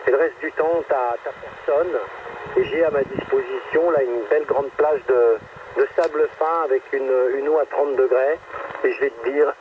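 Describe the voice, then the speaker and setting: A man's voice: medium pitch, narrow, tinny audio. A man, an amateur radio operator, chatting casually through a radio transmission. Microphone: radio microphone heard through a receiver. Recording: very noisy, band-limited, distant radio link.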